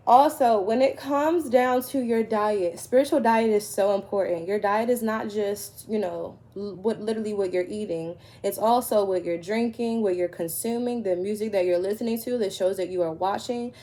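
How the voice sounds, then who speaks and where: A woman's voice: mid-range voice, dark tone. Congested woman giving spiritual advice. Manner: conversational, frequent "right?" and "like". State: congested from a cold. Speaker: tarot reader.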